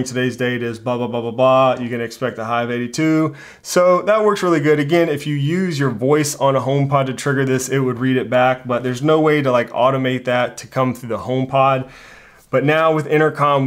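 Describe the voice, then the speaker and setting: A man in his thirties with an American accent, talking informally and thinking aloud as he hosts a tech tutorial. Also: medium pitch. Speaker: tutorial host. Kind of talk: casual tech tutorial talk. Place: small indoor home studio.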